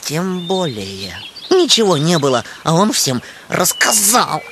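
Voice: medium pitch, very animated, takes it slowly, background noise. An actor voicing a touchy, anxious, bookish young male hedgehog in a cartoon, prim and fussily articulate. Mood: sulky. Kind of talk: cartoon voice acting.

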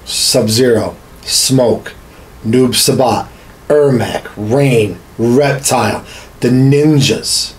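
Mid-pitched man in halting casual chat. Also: rough recording. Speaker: podcast co-host. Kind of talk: casual chat about games and films. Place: small home studio room, indoors.

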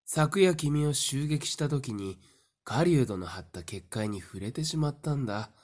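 A woman acting in an audio drama as a calm, smiling, taunting werewolf in human guise, with a theatrical, teasing delivery. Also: a bass voice, a little slow, heavily acted. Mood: calm confession.

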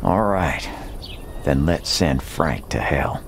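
An actor playing a cool, determined Western gunslinger in a video game, laconic and dry. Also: male voice, very deep voice, slow pace, slight background noise.

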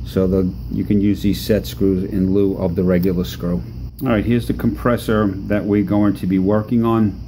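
Guy with a mellow low voice showing how to fix a part, lots of uhs and ums, laid back. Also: slight background noise. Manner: relaxed, halting, many fillers. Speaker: product demonstrator. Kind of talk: hands-on how-to demonstration.

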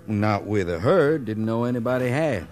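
A man's voice: fairly low pitch, lively intonation, normal speed, a little mellow, faint music behind, natural voice. A man acting a plainspoken, easygoing cowhand in an old radio western, folksy and casual. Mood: confident.

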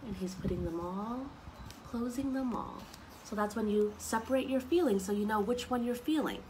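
A young woman reading picture books aloud to children, expressive and explaining things simply as she goes. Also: medium pitch, normal speed, background noise. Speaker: story time reader for children. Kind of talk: children's picture book read-aloud with asides. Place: outdoors on a wooden deck among trees.

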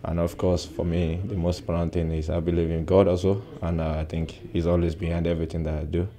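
A young man in his twenties, a footballer giving a soft-spoken post-match interview with many "uh" pauses, recorded indoors close to the mic. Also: deep voice, flat delivery. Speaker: football player. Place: indoor press backdrop at a stadium.

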